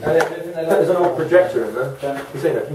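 A man's voice: fairly high pitch, normal speed. A man on site talking informally and insistently to the explorers. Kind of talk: casual talk. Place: abandoned care home, indoors. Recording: distant, echoey, noisy.

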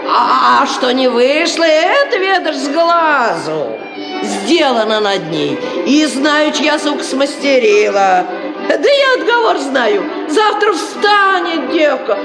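A woman's voice: high-pitched, very animated, slow, heavily acted. An actress playing a wily, wheedling peasant matchmaker woman with glib, folksy speech in an old Soviet radio play. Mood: insistent. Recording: roomy old radio recording with music.

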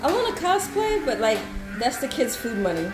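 A woman talks casually with opinionated, bantering ease. She is picked up by a distant camera microphone in an echoey kitchen, and music plays clearly behind her. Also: high voice, sing-song, moderate pace. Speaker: home cooking stream co-host and parent. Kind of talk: casual chat. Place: home kitchen. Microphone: distant camera mic over the counter.

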